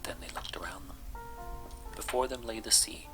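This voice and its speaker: A man reading a children's story aloud as soft-spoken bedtime narration, with music behind him. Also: lowish voice, moderate pace. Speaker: storybook reader.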